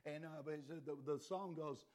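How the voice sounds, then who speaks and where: A man preaching a fiery sermon, impassioned and swinging between quiet and loud, his voice a little worn. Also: on the high side, fairly even intonation, normal speed.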